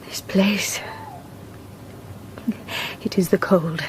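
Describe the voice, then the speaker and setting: An actress playing a nervous, well-bred young English lady in a radio drama, softly polite and restrained. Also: medium pitch, fairly even intonation, drawn-out words, whispered. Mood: afraid, tender. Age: young adult. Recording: clearly noisy ambient backdrop.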